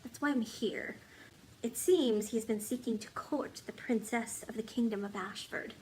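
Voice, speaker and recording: An earnest, wise and loyal woman of a storybook kingdom, voiced by a female reader. She speaks in measured phrases at a raised pitch, with faint noise behind her. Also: a little mellow, natural voice, rough recording. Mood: grave, informative. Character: loyal, determined woman, wise old friend of a king. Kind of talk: storybook character dialogue.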